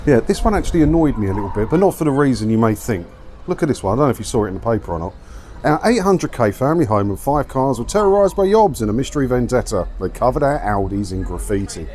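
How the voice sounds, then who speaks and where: A man with a lively, swooping delivery and a middling pitch talks casually to his viewers while out walking. He grumbles sardonically through news commentary, tossing out rhetorical questions. There is light outdoor wind and street noise behind him. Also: quick pace. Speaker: vlogger hosting a commentary video. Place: outdoors, walking around an English seaside town.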